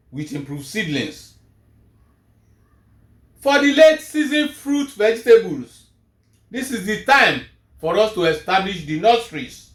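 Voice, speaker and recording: Slow, lively-voiced man teaching farmers. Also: high voice, reverberant. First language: Yoruba. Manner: measured, emphatic, rising then falling at each phrase. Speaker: farming show host. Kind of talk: scripted farm advisory talk.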